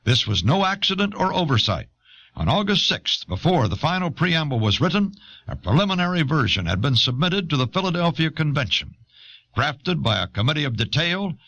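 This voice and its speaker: A man reading a scripted educational history narration in a measured, explanatory manner on an old cassette. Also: mid-range voice, sing-song, sharp tone. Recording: dull old cassette audio.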